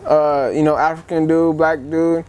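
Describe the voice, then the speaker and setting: Man, high-pitched voice, interview host, casual slangy chat, clear ambient noise. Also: flat delivery, normal speed, darkish tone.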